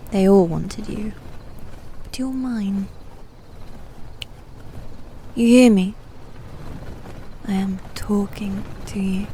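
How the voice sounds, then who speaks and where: A woman playing a proud, guarded demon knight who is secretly fond, in an intimate, hushed and breathy ASMR roleplay style with haughty, halting delivery. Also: medium pitch, a little hiss or hum. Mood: haughty, teasing. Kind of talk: ASMR roleplay, intimate half-whispered acting.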